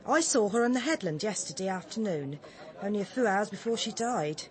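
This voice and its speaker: An actress playing an opinionated, disapproving publican's wife with an English accent in a radio drama, tart and gossipy. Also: female voice, mid-range voice, expressive, fairly quick, close to an everyday voice, poor audio.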